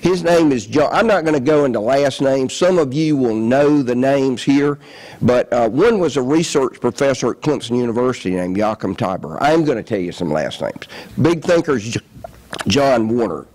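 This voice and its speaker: An older man with a Southern accent talks from a podium in a large conference hall. His delivery is folksy and chatty, full of ums and restarts, at a mid-range pitch. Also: expressive, moderate pace, darkish tone. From South Carolina. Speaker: county councilman giving a conference talk. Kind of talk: conference presentation. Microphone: podium microphone.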